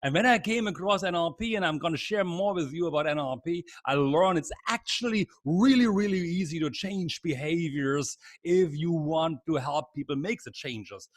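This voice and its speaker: A middle-aged man with a German accent, leading a live online training seminar in an energetic, repetitive, encouraging way over a video call. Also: on the high side, normal speed, hardly any pauses, dry recording, clean studio sound. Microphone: computer mic on a video call.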